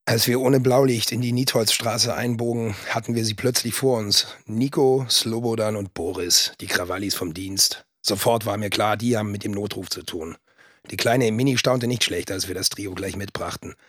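A man reports in a lowish, husky voice, recorded very dry. He is a wry, colloquial police officer in a radio drama, mildly mocking. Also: fairly even intonation, moderate pace, fairly bright tone, dry recording. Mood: wry, scornful.

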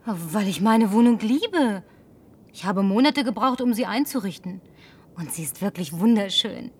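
An actress dubbing a brash, lonely young woman who survived the end of the world, teasing and sharp-tongued. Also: mid-range voice, animated, measured pace, breathy edge, distant mic. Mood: wistful. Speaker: Linda, survivor driving a car. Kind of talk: German film dub.

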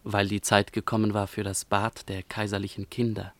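A man's voice: fairly low pitch, level tone, normal speed, natural voice. An actor playing a dutiful male court chamberlain in a 1950s German radio drama, formal and deferential.

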